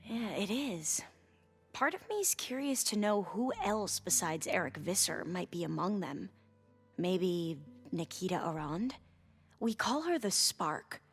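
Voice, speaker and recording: An earnest young female scholar in a video game, bookish and a little nervous. She speaks in careful, formal phrases with small hesitations, at a middling pitch, over faint music. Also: husky. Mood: pensive. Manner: hesitant, halting, with formal learned wording. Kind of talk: video game cutscene dialogue.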